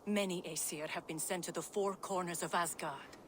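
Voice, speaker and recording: A woman voicing a calm, cautious goddess in a video game cutscene, with noise behind. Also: lowish voice, natural voice. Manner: measured, reasoning.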